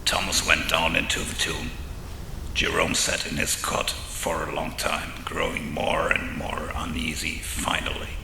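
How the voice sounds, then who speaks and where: Gravelly ghoulish horror host, drenched in reverb. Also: male voice, very deep voice, completely flat delivery, a little slow, noisy background, full character voice. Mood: ominous. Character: ghoulish crypt-keeper horror host telling grisly tales. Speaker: narrator. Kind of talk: horror comic audio drama narration. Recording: reverberant echo with loud music underneath. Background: loud music.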